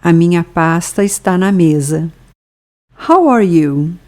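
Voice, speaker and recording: A woman with a Brazilian accent leads a scripted language lesson. She reads each phrase very slowly and deliberately, leaving room for the listener to repeat it. Her voice is mellow and sits a little low. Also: lively intonation. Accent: Brazilian Portuguese. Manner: slow, careful bilingual reading with pauses for repetition. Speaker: language teacher.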